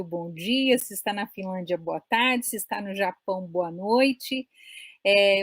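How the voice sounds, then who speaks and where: A woman's voice: mid-range voice, dry recording. A Brazilian woman in her forties hosting a live online chat in a friendly, talkative way, often adding "né?". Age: 40s. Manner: friendly, chatty, many "né?" tags. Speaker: host. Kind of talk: casual live-stream chat.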